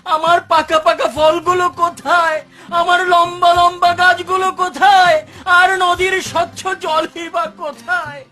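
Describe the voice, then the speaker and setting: A man voicing an arrogant, boastful rich landowner in an animated moral story, with a theatrical delivery. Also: extremely high pitch, exaggerated voice. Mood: wailing, remorseful. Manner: theatrical, swinging from mocking to wailing. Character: arrogant, boastful rich man who owns two orchards.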